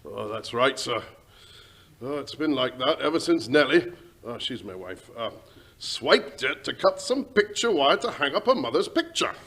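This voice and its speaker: A man playing a humble, flustered, late middle-aged working-class Englishman with thick, gravelly speech, hesitant and deferential, in 1940s radio drama style. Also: medium pitch, sing-song, moderate pace, a bit bright. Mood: impressed, flustered.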